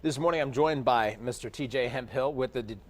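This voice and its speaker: A man's voice: mid-range voice, fairly quick. A man hosting a live morning news interview as a TV anchor, encouraging his guest along.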